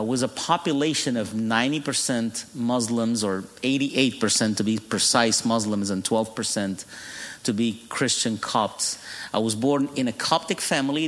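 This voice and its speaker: Middle-aged Egyptian-accented man, native Arabic speaker, guest preacher telling his testimony with self-deprecating humor, mid-pitched voice carried by long church reverb. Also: a bit bright. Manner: humorous storytelling, laughing at his own jokes. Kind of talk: sermon testimony.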